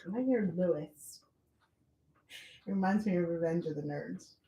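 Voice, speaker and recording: A woman co-hosting a car livestream, relaxed as she reads out viewer comments and questions. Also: fairly low pitch, normal speed, reverberant. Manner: relaxed, reads out chat messages. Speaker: co-host reading viewer comments. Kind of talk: casual chat on a livestream.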